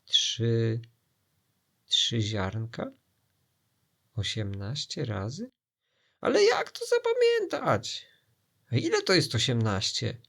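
A man's voice: mid-range voice, wildly swooping pitch, gravelly, fairly bright tone, exaggerated voice. A man voicing greedy, dim, gullible pirates in a storybook, clamouring eagerly. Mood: baffled. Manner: clamouring, slow-witted, eager.

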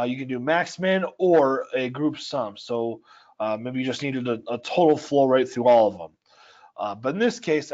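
A man with a dry, scratchy voice, explaining a software tool step by step in a casual, filler-filled way. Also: on the high side, highs cut off. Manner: explanatory, conversational, with frequent fillers. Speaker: software trainer. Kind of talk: screen-share software tutorial. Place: home or office at altitude.

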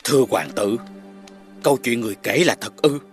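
A man playing a loyal, conscientious royal guard in an animated fairy tale, deferential and solemn. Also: medium pitch, a little fast, soft background music, close to an everyday voice. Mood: deferential, uneasy.

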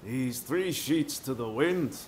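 A man's voice: mid-range voice, expressive, takes it slowly, slight background noise. A man playing an easygoing, laconic wandering samurai in a video game, casual and understated. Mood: laid-back. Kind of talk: naturalistic video game voice acting.

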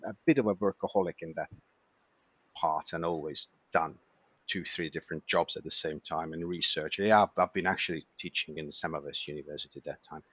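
Hungarian-accented male doctor, 50s, halting delivery, lo-fi sound. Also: extremely low pitch, fairly bright tone, slightly rough, no room sound. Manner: hesitant, many restarts and fillers. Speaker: emergency physician guest. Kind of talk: casual interview chat.

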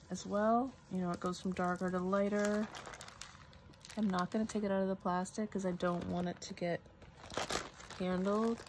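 A woman's voice: fairly low pitch, darkish tone, a little hiss or hum. A woman giving casual unboxing commentary at a craft table, chatty and musing aloud to herself. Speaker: crafter showing a product haul.

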